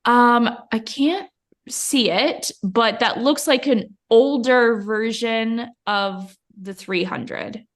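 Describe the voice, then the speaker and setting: A woman's voice: fairly high pitch, slow pace. A woman company representative answering product questions informally, a little hesitant and correcting herself as she goes.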